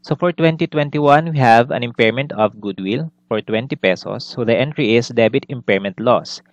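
A man's voice: medium pitch, normal speed, dry recording, muffled recording. A man giving a recorded accounting lesson, explaining methodically step by step and restating his points. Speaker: accounting instructor. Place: indoors, screen-recorded lesson voice-over.

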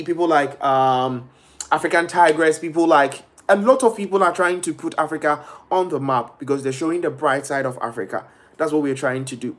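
Young guy from ghana ranting on a webcam stream in his bedroom, lively, slightly high voice. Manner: animated, gestures a lot, quotes people in shifting voices. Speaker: live-streaming vlogger. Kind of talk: casual live stream monologue. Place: small bedroom indoors. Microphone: laptop or phone webcam at arm's length.

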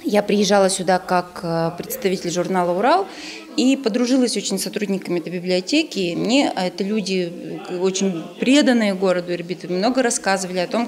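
A woman's voice: medium pitch, a little mellow. A middle-aged woman giving spontaneous interview answers, often breaking off and repeating words as she goes. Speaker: screenwriter and magazine editor. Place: indoor room with chairs and bookshelves.

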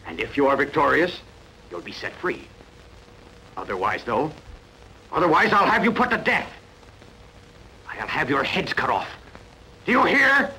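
A man's voice: on the high side, sing-song, measured pace, pauses often, slightly rough, full character voice, poor audio. An actor playing a cruel, haughty king who gives commands in a dubbed sword-and-sandal film. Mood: stern, menacing. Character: cruel, imperious king lording over his soldiers. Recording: film dub with loud music behind.

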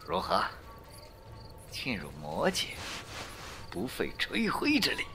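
An actor playing a mysterious, cunning old master in a Chinese costume audio drama, theatrical, lofty and coaxing. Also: male voice, on the high side, huge pitch swings, slow pace, creaky, bright, forceful tone, a little hiss or hum, full character voice. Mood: boastful, persuasive. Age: older.

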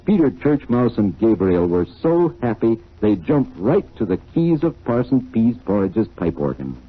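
A man's voice: lowish voice, very animated, normal speed, breathy edge. A man theatrically narrating a 1940s children's story, acting out the characters. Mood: animated. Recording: tinny, muffled old 1940s recording.

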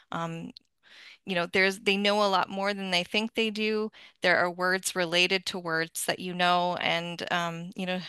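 A woman's voice: on the low side, pushed and bright, clear, resonant tone, no echo. A woman in her forties, a Russian teacher on an online panel, speaking thoughtfully with frequent fillers and restarts over a headset microphone. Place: home room, video call.

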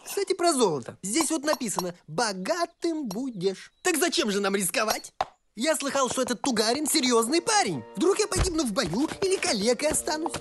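Greedy cartoon horse, high voice, swooping intonation, glib, self-important, theatrical. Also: male voice, big pitch swings, normal speed, a bit bright, soft background music, exaggerated voice. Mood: smug, persuasive. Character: vain, greedy, smooth-talking male talking horse. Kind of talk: animated film comedy acting.

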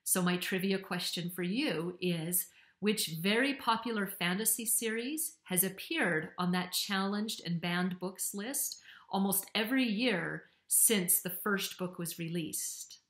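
A woman's voice: medium pitch, a little slow, a bit bright. A middle-aged woman, a novelist, giving a prepared talk to camera from a home office. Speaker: historical novelist for young readers. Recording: roomy, echoing home office sound.

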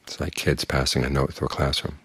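An older man teaching as a Buddhist monk, his voice very low and strongly husky. He speaks calmly and plainly, and the recording is close and dry. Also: a little fast, no echo. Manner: calm, plain-spoken, uses homely images. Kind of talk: evening dharma talk.

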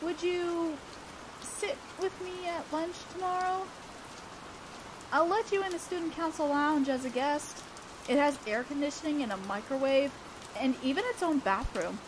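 A young woman playing an awkward, earnest teenage student council president in a romantic audio roleplay, speaking in a shy, halting way. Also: high-pitched, noisy background, natural voice. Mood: bashful, hopeful.

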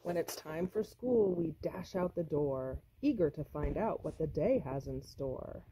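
A woman reading a children's picture book aloud with deliberate, expressive, measured phrasing. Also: low-pitched, a little hiss or hum, low-quality recording. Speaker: storybook reader.

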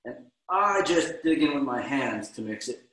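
Relaxed middle-aged man cooking, echoey call. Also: mid-range voice, moderate pace. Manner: relaxed, explanatory, with restarts. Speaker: guest cook. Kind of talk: casual cooking demonstration. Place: home kitchen, indoors. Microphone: laptop video call.

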